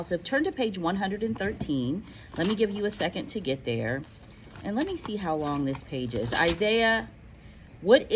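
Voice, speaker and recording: A woman teacher reading aloud to pupils, expressive and addressing her listeners directly, moving between storytelling and casual classroom chat. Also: on the low side, rough recording. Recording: faint background noise.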